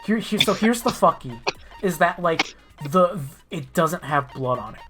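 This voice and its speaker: A man's voice: high-pitched, animated, measured pace, loud music behind the voice. A man streaming a game and chatting casually with friends, thinking aloud in a rambling, sweary way. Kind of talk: casual gaming chat with friends.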